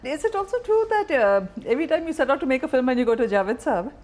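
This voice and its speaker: A woman's voice: high-pitched, animated, fast, a little mellow. A woman with an Indian accent, asking questions as the host of a conversational interview.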